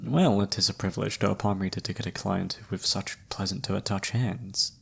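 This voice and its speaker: A man playing a flirty, theatrical travelling palm reader in a fantasy ASMR roleplay, teasing and dramatic with a breathy, husky voice. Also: low-pitched, fairly even intonation, brisk, fairly bright tone, full character voice. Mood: flirty, soft.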